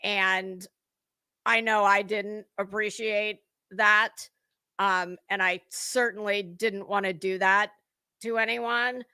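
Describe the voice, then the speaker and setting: A woman's voice: mid-range voice, steady tone, slow pace, bright, forceful tone, clean tone, no room sound. A woman hosting a livestream, chatting casually with viewers in a rambling, informal way. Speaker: livestream host.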